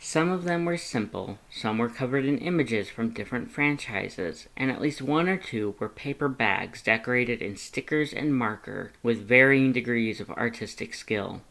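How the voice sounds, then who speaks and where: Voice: mid-range voice, lively intonation, normal speed, creaky, few pauses, a bit bright, poor audio. A narrator reading a scripted fan fiction story and voicing its characters.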